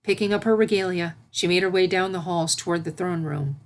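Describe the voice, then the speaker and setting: A woman's voice: fairly low pitch, a little fast. A woman narrating a scripted story as its reader.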